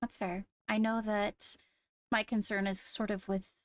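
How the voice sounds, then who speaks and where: A woman speaking in a measured, deliberate way with formal wording, improvising in-character roleplay dialogue. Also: mid-range voice, little pitch movement, no room sound. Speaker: roleplayer in a Minecraft court drama.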